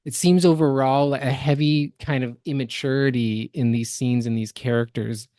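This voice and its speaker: A man's voice: medium pitch, steady tone, normal speed, mellow, no echo. Opinionated man, very dry close sound. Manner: analytical, opinionated. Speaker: podcast co-host. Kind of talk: critical reaction commentary.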